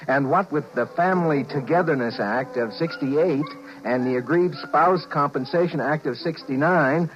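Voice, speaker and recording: A man's voice: mid-range voice, natural voice. An actor playing a harried, wry husband and businessman in a 1950s radio drama. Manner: flustered, wry. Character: harried, exasperated husband and businessman narrating his woes. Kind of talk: 1950s radio drama acting and first-person narration. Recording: muffled vintage 1950s radio recording.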